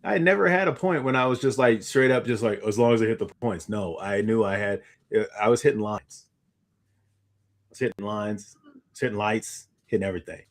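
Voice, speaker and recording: A man co-hosting a casual podcast, bantering in a halting way and repeating phrases as he reasons. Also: lowish voice. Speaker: podcast co-host.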